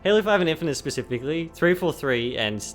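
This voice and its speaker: A young man co-hosting a casual gaming podcast, giggling and rambling with lots of fillers while his voice is going hoarse. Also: a little high, lively intonation, fast, soft background music. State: losing his voice. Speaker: podcast co-host. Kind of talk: casual chat.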